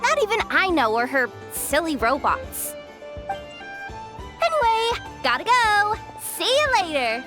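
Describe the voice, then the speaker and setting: A woman playing an eager, excitable young working girl in a video game, gushing and breezy with a slight stammer. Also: very high voice, takes it slowly, music in the background, exaggerated voice. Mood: exhilarated.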